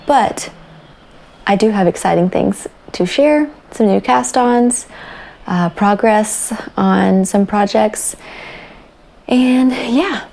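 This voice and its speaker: A young woman hosting a knitting podcast, chatting casually and relaxed, her voice raspy after a night of coughing. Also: medium pitch, slow pace. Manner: chatty and relaxed, with fillers and small laughs. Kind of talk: casual chat about crafts.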